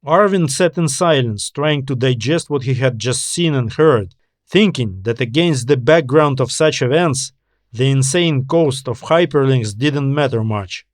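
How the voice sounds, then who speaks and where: A man narrating a science fiction audiobook in a measured, deliberate way. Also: medium pitch, animated, no echo, clean studio sound. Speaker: author narrating own story.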